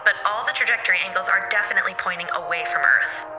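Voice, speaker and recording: An actress playing an obedient, eager female artificial intelligence of a spaceship, brisk and precise, in an audio drama. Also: mid-range voice, loud music behind the voice, close to an everyday voice, low-quality recording. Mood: efficient, helpful.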